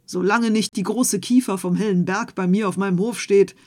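A woman voicing a stubborn old male farmer in a fairy tale, loud and blustering. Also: medium pitch, fairly even intonation, takes it slowly, lightly acted.

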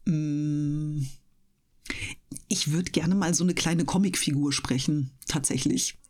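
Female interview guest, slightly low voice, thoughtful colloquial explainer, casual radio chat. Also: slow pace, a little mellow. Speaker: interview guest, voice actress and streamer.

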